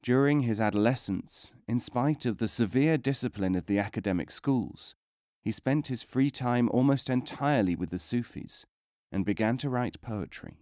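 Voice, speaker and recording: A man reading non-fiction as a scripted audiobook narration, in a measured, formal way with careful enunciation. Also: mid-range voice, darkish tone, no echo, clean studio sound.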